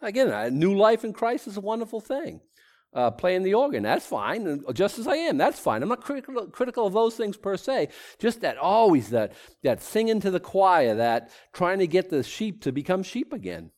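A middle-aged preacher with a thick Boston accent talks through a Bible passage like a casual chat. His pitch sits a bit high and swings widely. He rambles, restarts often and pokes fun at himself. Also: big pitch swings, normal speed, darkish tone. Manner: rambling, self-deprecating, with frequent restarts. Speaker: pastor. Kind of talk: conversational Bible teaching sermon.

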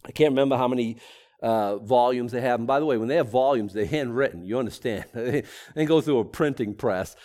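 A man's voice: mid-range voice, fairly quick, warm tone. A middle-aged man with a Boston accent teaching a sermon in a rambling, self-deprecating way, full of restarts. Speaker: pastor. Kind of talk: conversational Bible teaching sermon.

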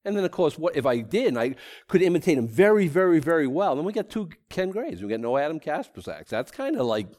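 A middle-aged preacher with a thick Boston accent talks through a Bible passage like a casual chat. His pitch sits a bit high and swings widely. He rambles, restarts often and pokes fun at himself. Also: big pitch swings, fast talker, darkish tone. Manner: rambling, self-deprecating, with frequent restarts. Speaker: pastor. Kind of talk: conversational Bible teaching sermon.

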